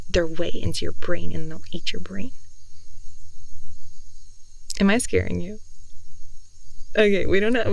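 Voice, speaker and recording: A young, talkative girlfriend voiced by a woman rambles affectionately in an intimate ASMR roleplay. She takes her time, with soft fry in her voice and whispery dips. The sound is close and very dry. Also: medium pitch, dry recording, rough recording. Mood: earnest, teasing, then apologetic, coaxing. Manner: rambling, gossipy, giggly, often dropping into soft whispers. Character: chatty, affectionate young girlfriend on a camping trip. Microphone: close headphone-style 3D ASMR microphone.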